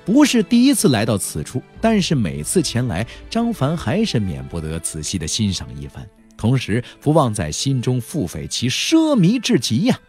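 A man's voice: mid-range voice, wildly swooping pitch, moderate pace, few pauses, darkish tone, faint music behind. A man narrating an audiobook as a dramatic, colloquial storyteller, with playful, wry asides.

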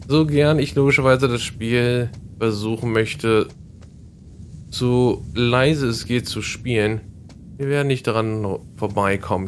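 A man's voice: on the low side, slight background noise. A man hosting a Let's Play, chatting casually and explaining the game as he plays. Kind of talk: casual gameplay commentary.

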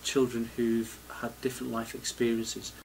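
Middle-aged male head teacher, earnest and reflective, average pitch, light room noise. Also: completely flat delivery, moderate pace, gravelly, a little mellow, poor audio. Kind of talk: interview answer. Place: small school office, indoors.

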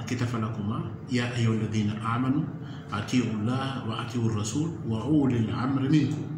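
Formal man in an echoey room. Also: mid-range voice. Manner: measured, formal, slow and emphatic, opens with Arabic blessings. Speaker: spokesman of a moon-sighting commission. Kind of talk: press conference statement. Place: yellow-walled meeting room, indoors. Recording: echoey room with background noise.

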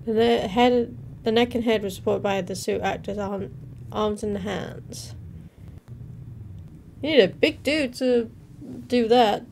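A woman's voice: mid-range voice, slow pace, vocal fry, a little mellow. Young woman reacting casually, noisy background. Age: young adult. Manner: informal, interjecting between read-out captions. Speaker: reaction video host. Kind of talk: casual reaction commentary, reading captions aloud. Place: small room at home, indoors. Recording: noticeable background noise.

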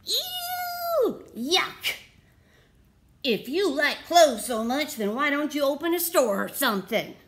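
Woman voicing shrill, scornful mole rat. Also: sing-song, fairly bright tone, exaggerated voice. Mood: disgusted, sarcastic. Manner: exaggerated, drawn-out disgusted exclamations. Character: scornful, sarcastic crowd of naked mole rats.

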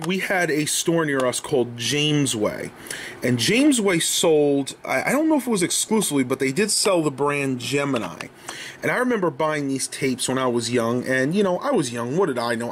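A young American man chatting casually to viewers in a home vlog. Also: mid-range voice. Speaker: vlogger. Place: small home room, indoors. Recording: clearly noisy home camera audio.

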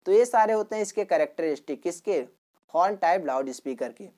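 A woman explains like a teacher in a classroom lesson. Her voice sits fairly low and has a very soft, mellow tone. She goes over each point again and drops in little check-in tags. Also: expressive, brisk. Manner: repetitive, with frequent check-in tags. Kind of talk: explanatory lecture in simple terms.

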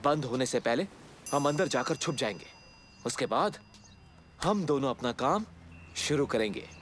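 Guy doing a sneaky thief plotting a heist, mid pitch, cartoon, little bit of noise behind. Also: lively intonation, a little slow, lightly acted. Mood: scheming. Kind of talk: animated comedy dubbing.

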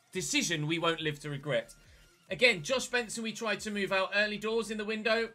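A young man chats his way through a football management game, thinking aloud as he clicks through menus. His voice sits a little high and sounds bright and forward. He talks into a headset in a small home room, with faint music playing underneath. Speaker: gaming YouTuber. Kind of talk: casual let's-play commentary.

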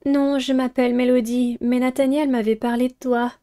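Woman playing a polite, mellow schoolgirl delegate. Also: high voice, little pitch movement, moderate pace, close to an everyday voice. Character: polite, helpful teenage girl class delegate.

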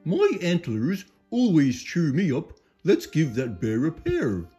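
Woman doing a mellow, slow, grumbling moose. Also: deep voice, huge pitch swings, faint music behind, exaggerated voice. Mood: complaining. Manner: complaining, boastful. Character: grumbling, self-satisfied male moose from a picture book.

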